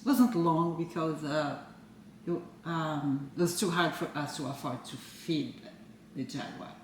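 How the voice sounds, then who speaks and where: A woman from Brazil in her forties, a native Portuguese speaker talking in English with a Brazilian accent, speaking haltingly with fillers and soft laughs in a casual chat at home. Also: deep voice, far from the mic. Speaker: co-host. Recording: echoey room, a bit off-mic, faint noise.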